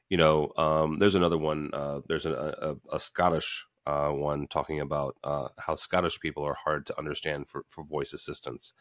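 A man's voice: rumbling low, a bit bright, no room sound, studio quality. A man with a Midwestern American accent hosting a casual panel talk, rambling with many restarts and fillers. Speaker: fill-in podcast host.

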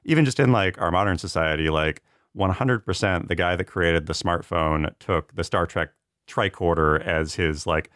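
Deep voiced guy guest on a podcast, chatting loose, lots of like and you know. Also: moderate pace, a bit bright, no room sound, studio quality. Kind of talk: casual chat.

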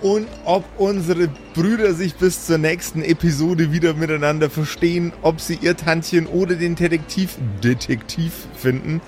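Higher-pitched man as game master. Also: steady tone, moderate pace, few pauses, a little mellow, soft background music. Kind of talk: improvised tabletop game narration.

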